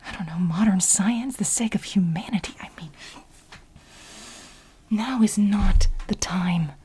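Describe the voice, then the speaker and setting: A woman playing an obsessive, exasperated administrative assistant in a soft-spoken, whispery ASMR role play, insistent and repeating herself as she pleads with her boss. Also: medium pitch. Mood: urgent pleading. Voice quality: breathy, whispery. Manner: insistent, repetitive, halting for emphasis.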